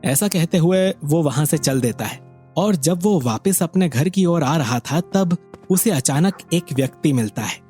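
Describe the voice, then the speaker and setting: A man tells a scripted moral story as narrator. His voice is husky and a little high, over soft background music. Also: expressive, measured pace.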